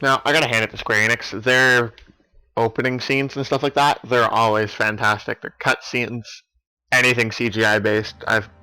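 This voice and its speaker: A man's voice: mid-range voice, level tone, normal speed, sharp tone, smooth, rough recording. A man hosting a let's play, explaining things in casual, informal commentary. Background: faint game music.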